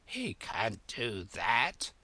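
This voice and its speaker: A man voicing a fussy, excitable storybook king who speaks in slow, emphatic phrases. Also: medium pitch, no room sound, heavily acted. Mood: indignant.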